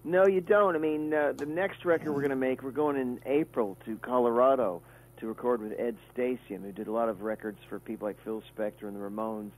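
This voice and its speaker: A man chats as a guest on a radio show. He rambles easily with plenty of fillers and restarts. His voice sits at an ordinary middle pitch. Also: steady tone, brisk, poor audio. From Kentucky, USA. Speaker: guest musician. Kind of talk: casual radio interview.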